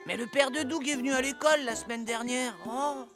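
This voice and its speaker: A woman voicing a curious, excitable young child in a children's cartoon. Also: sits high, brisk, music in the background, lightly acted. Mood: curious, excited. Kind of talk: French-dubbed children's cartoon.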